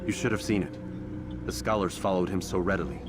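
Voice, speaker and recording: An actor playing a stern young assassin in a game cutscene, speaking in terse, measured, solemn lines. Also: male voice, low-pitched, very noisy, natural voice. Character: stern young male assassin, grim and disciplined. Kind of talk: video game cutscene acting.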